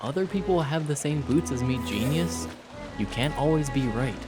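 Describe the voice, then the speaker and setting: A man's voice: medium pitch, lively intonation, moderate pace, loud music behind the voice, lightly acted. A man playing a surly, dismissive young suspect in an audio drama, sneering and defensive.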